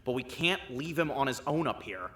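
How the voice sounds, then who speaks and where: A man's voice: medium pitch, expressive, moderate pace, pushed and bright. A man voicing a weary, skeptical doctor. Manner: dry and doubtful. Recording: long reverb.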